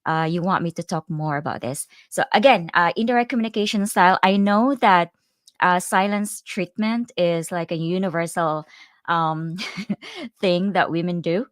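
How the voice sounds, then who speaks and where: Low, smooth-voiced Filipina vlogger. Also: female voice, moderate pace, no room sound. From Philippines. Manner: chatty, speaks straight to viewers and breaks off to greet the live chat. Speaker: host of a livestream. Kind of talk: casual livestream chat.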